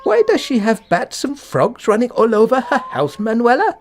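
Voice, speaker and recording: Man acting a lively, nosy village gossip. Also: extremely high pitch, normal speed, a little hiss or hum, full character voice. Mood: inquisitive. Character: nosy, gossiping male villager, eager and tactless. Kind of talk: comic radio play acting.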